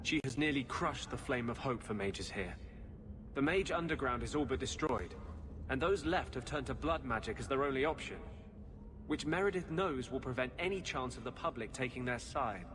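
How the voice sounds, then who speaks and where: A male actor as a brooding rebel mage in a video game. His mid-pitched voice is earnest, grave and intense, with clear ambient noise behind him. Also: animated, normal speed, close to an everyday voice. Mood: bitter, grave. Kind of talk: video game cutscene acting.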